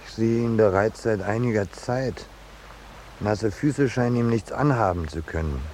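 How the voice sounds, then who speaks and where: Unworldly male artist played by a man, ironic and unruffled, lowish pitch, old radio drama with slight noise. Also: a little mellow, lightly acted. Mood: dry, unruffled. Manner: dry, polite, unhurried irony. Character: peaceable, unworldly male landscape painter, drily unruffled. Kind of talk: 1950s German radio play acting. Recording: noisy 1950s radio drama recording.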